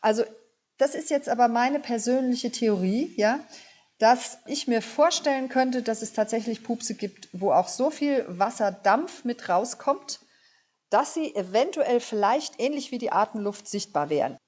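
A woman's voice: fairly high pitch, animated, a little slow, a little mellow. A woman speaking as an expert guest, explaining informally and tentatively, repeating words for emphasis.

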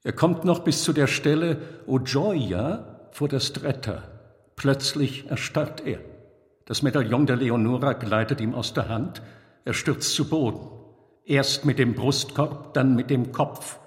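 Male narrator, mid pitch, scripted biographical storytelling, deliberate and dramatic, long reverb. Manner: deliberate, dramatic phrasing with pauses.

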